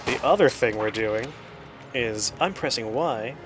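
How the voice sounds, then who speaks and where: A man's voice: medium pitch, expressive, measured pace. A man hosting a casual let's-play, talking in a playful, self-deprecating way. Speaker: show host, gamer. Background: music and game gunfire.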